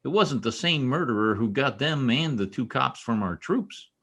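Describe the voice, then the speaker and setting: An easygoing state trooper who likes to josh, acted by a man in old radio drama style. His voice is husky and mid-pitched, with lively swings in its casual teasing. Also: normal speed. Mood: matter-of-fact.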